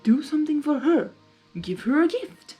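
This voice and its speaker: A woman voicing a wise, cryptic female zebra seer in a storybook reading, measured and knowing. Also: sits high, very animated, warm tone, faint music behind, pitched above the performer's own voice. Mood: firm. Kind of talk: dramatized fanfiction storytelling.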